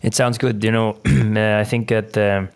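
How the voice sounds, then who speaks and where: A man hosting a podcast in relaxed conversation who laughs easily and uses many fillers. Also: fairly low pitch, a little slow, vocal fry, clean tone. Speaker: podcast host. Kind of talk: casual interview talk.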